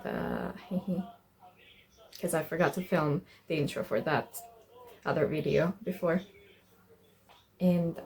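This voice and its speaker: Young female vlogger, unhurried and informal, with a soft mellow tone and casual delivery. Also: fairly low pitch, low-quality recording. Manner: informal, swearing. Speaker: vlogger, artist. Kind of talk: casual vlog narration.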